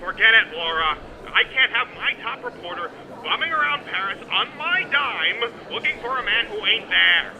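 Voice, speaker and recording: A man playing a gruff, brusque newspaper editor in an old-time radio drama, blustering and slangy. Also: sits high, animated, moderate pace, crowd noise. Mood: dismissive, gruff. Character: gruff, exasperated male New York newspaper boss. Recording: noisy ambience behind the voice.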